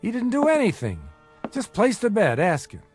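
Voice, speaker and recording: An actor playing a world-weary, middle-aged small-time bookie, wisecracking with breezy bravado in a radio crime drama. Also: male voice, high voice, wildly swooping pitch, moderate pace, a little mellow, slightly rough, faint music behind, full character voice. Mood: uneasy, defiant.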